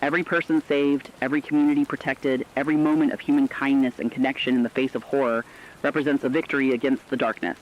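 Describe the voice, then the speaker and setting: A man's voice: mid-range voice, level tone, fast talker, close to an everyday voice. A man playing a solemn, earnest radio commentator, giving rhetorical scripted commentary during an emergency broadcast. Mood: solemn, hopeful. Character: solemn, humane male radio commentator in an apocalypse. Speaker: radio co-host. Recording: dull, lo-fi radio sound.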